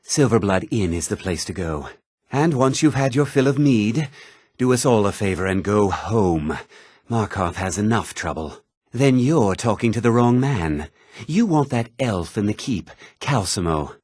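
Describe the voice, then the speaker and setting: An actor playing a haughty, put-upon fantasy townsman in a video game, husky, theatrical and often sarcastic. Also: lowish voice, expressive, unhurried, darkish tone, no room sound. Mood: scornful, irritable. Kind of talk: video game character dialogue.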